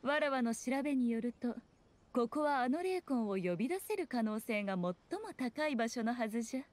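A woman playing a teasing, regal fox shrine maiden in a video game, with archaic lofty speech and an amused, unhurried manner. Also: fairly high pitch, lively intonation, darkish tone, close to an everyday voice. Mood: playful, teasing. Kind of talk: scripted video game voice acting.

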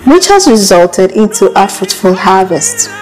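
A woman's voice: mid-range voice, very animated, a little slow, darkish tone, poor audio. A woman reading scripted documentary narration. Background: music.

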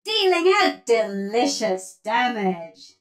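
A woman's voice: on the high side, big pitch swings, slow pace, faint music behind. A woman playing a feisty, sassy robot oven mom who bakes and fights, delivering theatrical punning one-liners for a video game. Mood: gleefully menacing. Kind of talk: video game character voice lines.

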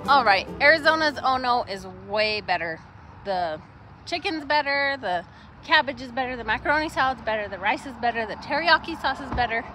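A woman's voice: sits high, expressive, a little fast, pushed and bright, soft background music. A middle-aged woman talking casually to viewers in a vlog, plain-spoken and informal, recorded on a handheld camera. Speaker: horse trainer and barrel racer hosting a ranch vlog. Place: changing vlog spots: fields, parking lot, vehicle, tack room. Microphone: handheld camera or phone at arm's length.

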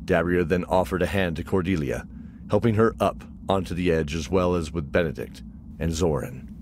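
A man's voice: low-pitched, normal speed, background noise. A man narrating a scripted fantasy audio drama as its storyteller. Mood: measured storytelling.

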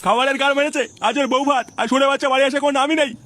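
A man voicing an irascible, foul-mouthed village father in a comic cartoon, nagging and blustering in a pitched-up voice. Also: fairly even intonation, racing, bright, forceful tone, dry recording. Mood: furious grumbling.

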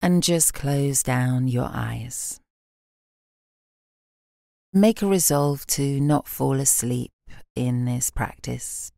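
A British woman leading a guided relaxation meditation in a calm, soothing, soft voice, with gentle instructions and long pauses. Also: rumbling low, creaky, no room sound, clean studio sound. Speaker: yoga nidra meditation guide.

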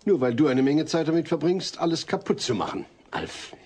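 A man's voice: on the high side, sing-song, fairly quick, music in the background. An actor playing a hesitant, fussy, anxious suburban family man in a German sitcom audio drama. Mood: flustered. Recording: faint noise, old cassette audio drama.

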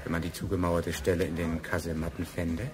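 An actor playing a gruff, impatient office man and father, brusque in a German radio crime drama. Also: low voice, level tone, brisk, crowd noise, close to an everyday voice. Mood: cautious. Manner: brusque, exasperated.

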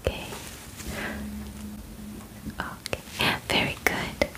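Young woman, ASMR roleplay, whispered, very slow, formal clinical phrasing, close mic. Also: rough recording. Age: 20s. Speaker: ASMR roleplayer acting as alien examiner. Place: small bedroom, indoors.

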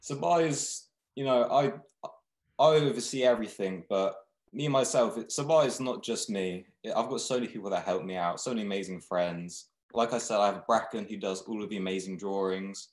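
A man's voice: lowish voice, brisk. Young man on an echoey video call. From Thailand, raised in England. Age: young adult. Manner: relaxed, rambling, frequent restarts. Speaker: clothing brand founder. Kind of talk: casual video-call interview. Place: small room indoors. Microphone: webcam video call. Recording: echoey room.

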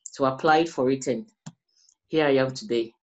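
A middle-aged woman from Ghana with a West African accent, explaining her research as an interviewee in measured phrases with pauses. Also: extremely low pitch, darkish tone. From Ghana, also grew up in the Gambia. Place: small indoor room, plain wall.

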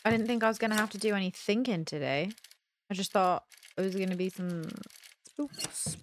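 A woman's voice: medium pitch, steady tone, moderate pace, background noise. A young woman gives casual let's play commentary, thinking aloud and questioning herself in puzzlement. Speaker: gaming video host. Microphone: close desk mic with headset on.

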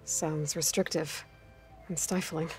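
A woman's voice: low voice, fairly even intonation, slow pace. An actress playing a composed, plain-spoken young woman adventurer in a video game, patient and reassuring with companions. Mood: sympathetic. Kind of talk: video game dialogue acting. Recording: loud music behind the voice. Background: music.

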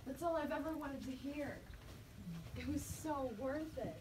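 An actress on a church stage playing a woman who softly gives thanks. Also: sits high, measured pace. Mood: grateful. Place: church stage set, indoors. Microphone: distant camera mic. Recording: noisy, distant stage voice.

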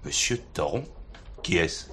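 An actor playing an embattled, blunt police inspector in a French radio play, colloquial and agitated. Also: male voice, low-pitched, lively intonation, a little slow, pushed and bright, exaggerated voice. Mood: stunned, indignant. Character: embattled, blunt male police inspector, loyal to his boss.

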